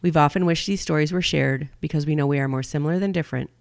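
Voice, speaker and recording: Gravelly middle-aged woman, close dry sound. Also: sits low, quick pace, dry recording. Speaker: podcast co-host, couples therapist. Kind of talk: prepared podcast introduction.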